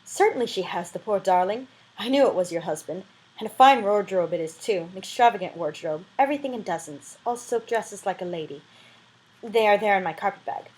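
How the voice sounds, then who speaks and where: A poor, anxious young mother from a classic novel, voiced by a woman reading aloud. She is eager to please and speaks in a gushing, insistent, proud way at a medium pitch. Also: expressive, brisk, darkish tone, lightly acted.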